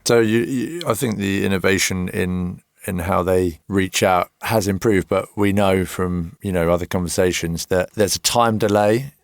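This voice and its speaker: Deep gravelly male podcast host. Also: steady tone, moderate pace, few pauses, no echo, studio quality. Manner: probing and conversational, sometimes restarting his questions. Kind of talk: interviewing a guest.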